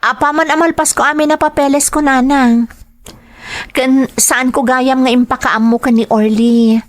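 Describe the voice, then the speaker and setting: Actress doing ilocano grown daughter, devoted and hopeful, fairly high voice, audio drama. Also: female voice, fairly even intonation, normal speed, natural voice.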